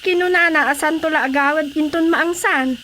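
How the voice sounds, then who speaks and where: A woman's voice: high voice, moderate pace, pushed and bright, a little hiss or hum. A woman with an Ilocano accent playing a plaintive village wife in a radio comedy drama.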